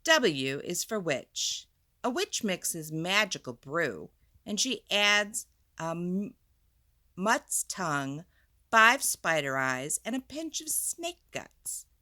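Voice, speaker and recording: Female storyteller for kids: lively swooping delivery, clear dramatic emphasis, forward bright tone, chatty asides. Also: on the low side. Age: adult. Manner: deliberate, dramatic emphasis, chatty questions to listeners. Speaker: storyteller, teacher reading to children. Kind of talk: expressive picture book read-aloud with asides.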